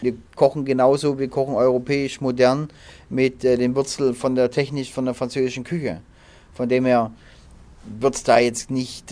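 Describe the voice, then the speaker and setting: A man in his thirties from Berlin, a head chef answering interview questions in a halting way with many fillers and restarts. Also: medium pitch, a little mellow. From Berlin, raised in southern Germany. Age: 30s.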